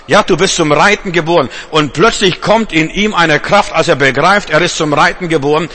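A man's voice: fairly high pitch, moderate pace, bright, forceful tone. Man preaching a fervent sermon. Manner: emphatic, repetitive, addresses listeners directly; stumbles and restarts. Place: church congregation.

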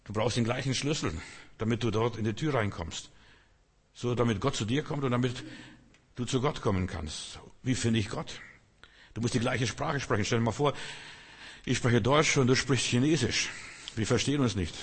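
Casting: non-native male preacher, husky voice, chatty delivery, echoey church hall. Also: medium pitch, normal speed, long pauses. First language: not German. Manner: conversational preaching, frequent "verstehst du?" asides. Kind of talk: sermon.

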